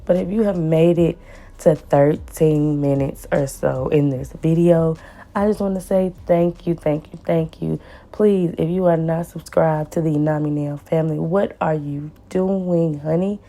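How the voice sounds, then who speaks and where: A woman with a hoarse, raspy voice from the flu, chatting casually as she narrates a nail tutorial and repeats words for emphasis. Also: fairly even intonation, a little slow. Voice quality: raspy and deep. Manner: repeats words for emphasis, warm pleading asides. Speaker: nail tech vlogger.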